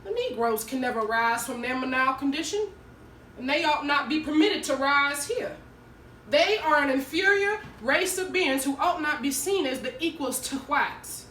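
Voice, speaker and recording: A woman's voice: high-pitched, animated, moderate pace, a bit bright. A woman playing a stern, hostile townsperson in a declamatory dramatic stage monologue. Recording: roomy, distant mic, noisy.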